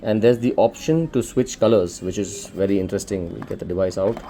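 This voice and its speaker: A man's voice: fairly low pitch, brisk, mellow, slight background noise. A man with an Indian accent casually presenting an unboxing for a shop, explaining things informally step by step. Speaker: shop presenter demonstrating a product.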